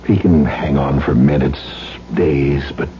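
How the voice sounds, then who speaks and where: An actor playing a grave doctor in 1970s radio drama, speaking haltingly. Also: male voice, a bass voice, fairly even intonation. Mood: grave, regretful. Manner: halting, hesitant delivery. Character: grave male doctor at a deathbed. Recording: dull, faintly noisy 1970s radio recording.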